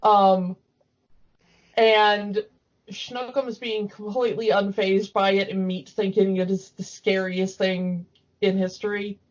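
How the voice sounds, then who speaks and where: A woman co-hosting a casual fan podcast. She talks in an animated, discursive way and offers her opinions freely. The audio is slightly muffled and lo-fi, with a middle-pitched voice. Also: slow. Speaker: podcast co-host. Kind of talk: casual fan chat about cartoons.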